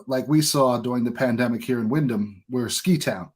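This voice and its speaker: A man's voice: medium pitch, a little fast, breathy edge. An American man hosting a podcast, chatting casually and offering his own opinions in an informal way. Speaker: podcast host. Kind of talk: casual conversational interview.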